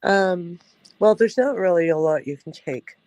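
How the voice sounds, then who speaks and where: Stuffed-up woman talking slowly. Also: on the low side, animated, darkish tone, no echo, poor audio. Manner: hesitant, halting with fillers. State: congested, stuffed-up nose. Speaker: livestream host. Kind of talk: casual livestream chat.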